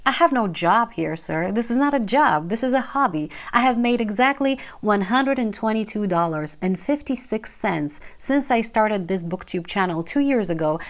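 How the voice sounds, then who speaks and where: A woman's voice: medium pitch, lively intonation, moderate pace, hardly any pauses. A woman with a Bulgarian accent, a native Bulgarian speaker, talking frankly and wryly as a book reviewer in a casual video. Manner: frank and wry, earnest.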